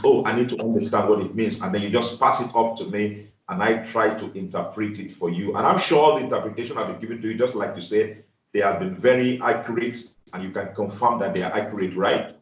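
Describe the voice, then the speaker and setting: Nigerian guy, low-ish voice, sounds far off in a roomy echo, gushing host. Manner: effusive, repetitive affirmations. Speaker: host, dream interpreter. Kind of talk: ministry channel talk.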